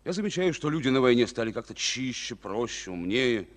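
An actor playing a resolute, earnest Soviet army captain in a declamatory, emphatic mid-century radio drama style. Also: male voice, medium pitch, very animated, fairly quick, close to an everyday voice. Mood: pensive, subdued. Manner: declamatory, emphatic, swinging between hushed and ringing.

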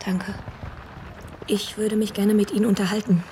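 A woman's voice: mid-range voice, flat delivery, noisy background, natural voice. An actress playing an anxious, polite young woman searching for answers in a radio drama, speaking hesitantly. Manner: hesitant, halting. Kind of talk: German radio drama acting.

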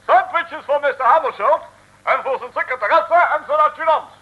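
An actor playing a fawning, excitable official interviewer, pompous and effusive, with a husky voice, in a 1950s radio comedy. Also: male voice, very high voice, normal speed, dull audio. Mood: gushing.

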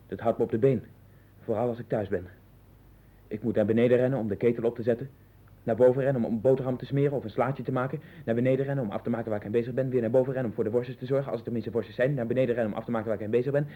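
A man's voice: medium pitch, fairly even intonation, very fast, lightly acted. An actor playing a restless, obsessive man in a 1960s Dutch radio play, with a Netherlands accent and a rambling, repetitive, insistent delivery. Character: restless, obsessive man sinking into a strange inner world. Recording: tinny band-limited 1960s radio recording.

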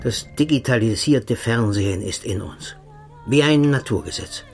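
An actor playing a cold, smug male secret-service agent in a radio play. He speaks calmly and mockingly, sometimes dropping to a hushed, sinister tone. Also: medium pitch, normal speed, vocal fry, faint music behind. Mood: coldly mocking. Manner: calm, mocking, sinister, drops to hushed tones.

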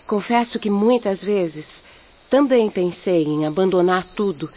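A muffled radio drama recording with faint noise and night insects carries a gentle young peasant woman played by an actress. She has a Brazilian accent and speaks in an earnest, measured, consoling manner, like a devout spirit offering comfort. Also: medium pitch, natural voice. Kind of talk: Portuguese-language radio drama acting.

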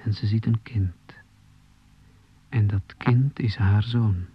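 An actor playing an earnest imprisoned poet in a radio play, speaking in a halting, deliberate, poetic way with a husky, breathy voice. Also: male voice, fairly low pitch, steady tone, no room sound, full character voice. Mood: grave, intense. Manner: halting, deliberate, repeating phrases. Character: meek, earnest imprisoned poet, humbly explaining himself to authority. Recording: slightly dull 1980s radio recording.

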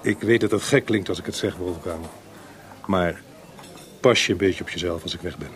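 An actor playing a kindly, concerned older composer in a Dutch radio drama, speaking in a gentle, measured, fatherly way. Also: low voice, natural voice. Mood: solicitous.

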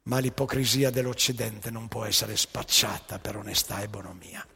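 A man delivering a theatrical spoken monologue as a stage storyteller, rhetorical and emphatic, breaking his sentences into short phrases, with a gravelly, husky voice. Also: fairly low pitch, normal speed, pushed and bright, long reverb tail.

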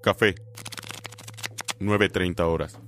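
A terse male announcer of radio drama scenes. His voice is very deep and slow, with clear music behind. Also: fairly even intonation. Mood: neutral.

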